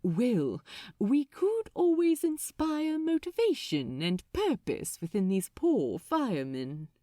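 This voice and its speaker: A woman's voice: fairly high pitch, wildly swooping pitch, slow pace, dark tone, no echo, clean studio sound, full character voice. A woman voicing a prim, kindly male angel in a fan fiction audiobook, speaking in a polite, fussy, fluttery manner. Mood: earnest.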